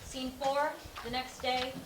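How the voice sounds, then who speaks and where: A woman's voice: high-pitched, flat delivery, takes it slowly. A woman reading out scene announcements and stage directions from a script. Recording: echoey, noisy, distant from mic.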